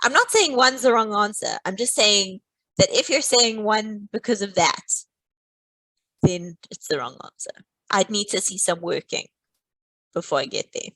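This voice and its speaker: A woman's voice: mid-range voice, lively intonation, normal speed, pushed and bright, dry recording, rough recording. A woman teaching a live online maths lesson, playful and teasing, walking through each step.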